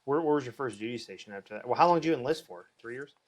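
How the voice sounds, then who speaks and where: Casual male interviewer, mid-pitched. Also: lively intonation, racing. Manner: casual, short follow-up questions. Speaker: podcast host. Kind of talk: interview questions.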